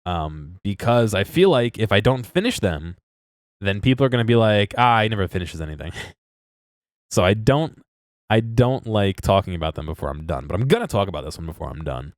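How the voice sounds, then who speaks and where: A man's voice: fairly low pitch, expressive, fairly quick, dry recording, studio quality. A congested man chatting casually to his live-stream audience, rambling and interrupting himself, chuckling now and then.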